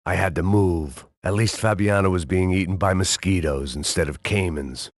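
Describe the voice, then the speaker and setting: An actor playing a weary, hard-drinking middle-aged ex-cop in a game, narrating in a deadpan, sardonic noir monologue. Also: male voice, sits low, normal speed, no room sound. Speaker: game protagonist.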